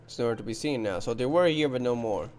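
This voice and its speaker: A man's voice: mid-range voice, fast talker, a little mellow, a little hiss or hum. A man giving casual commentary as he plays a game, thinking aloud in short remarks. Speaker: game streamer. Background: game music.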